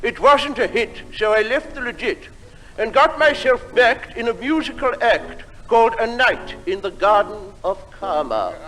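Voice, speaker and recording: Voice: medium pitch, animated, measured pace, fairly bright tone, poor audio. A performer delivering a comic song in a theatrical storytelling manner on a live stage. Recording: 1950s live theatre recording with music.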